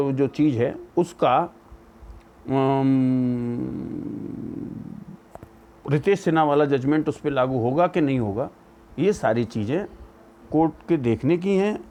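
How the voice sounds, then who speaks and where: A man speaks in a somewhat high but very mellow, relaxed voice. He explains like a legal educator, building his argument through rhetorical questions. English technical terms are mixed into his speech. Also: slow, pauses often. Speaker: legal educator giving opinion.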